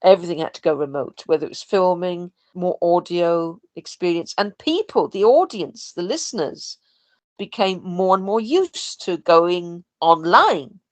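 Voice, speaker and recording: A woman's voice: fairly low pitch, lively intonation, a little slow, no echo. A woman chatting casually as a podcast co-host, explaining things in an articulate way. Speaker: podcast co-host, audio producer. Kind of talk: casual conversational chat.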